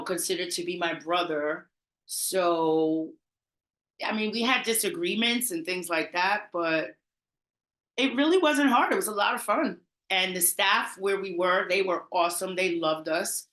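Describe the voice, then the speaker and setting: A woman's voice: medium pitch, a little slow, echoey room. A middle-aged woman from the Bronx, telling stories in a casual interview and laughing often. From the Bronx, New York. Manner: animated, chatty, laughs often, trailing restarts. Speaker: party promoter, interview guest.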